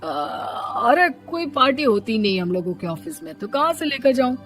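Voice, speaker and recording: A woman's voice: on the high side, sing-song, moderate pace, soft background music. A woman voicing a weary, evasive husband in a moral story, making hesitant excuses. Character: evasive, weary young husband dodging his wife.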